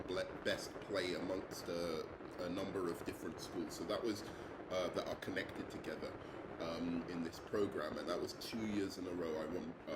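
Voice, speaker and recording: A young English man with a London accent, introducing himself as an English teacher, with frequent ums and uhs. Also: low voice. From England. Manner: hesitant, many ums and uhs. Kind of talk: self-introduction video. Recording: echoey room with noise.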